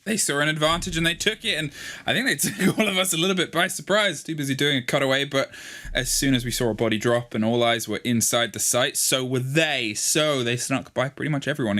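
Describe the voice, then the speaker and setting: A man's voice: medium pitch, a bit bright. A man casting a live esports match, play-by-play, rising in energy as the action builds.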